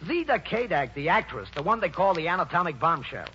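An actor playing a genial, blunt theatrical manager with colloquial, emphatic speech in a 1940s radio play. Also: male voice, on the high side, sing-song, brisk, pushed and bright. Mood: brisk, blunt. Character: brash, genial, folksy older theatrical manager. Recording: dull, noisy 1940s radio recording.

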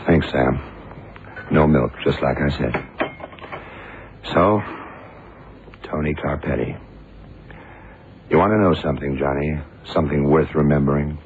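An actor playing a shrewd, commanding detective in a 1970s radio crime drama, speaking tersely and deliberately. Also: male voice, very deep voice. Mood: brooding. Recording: noisy, tinny old radio broadcast.

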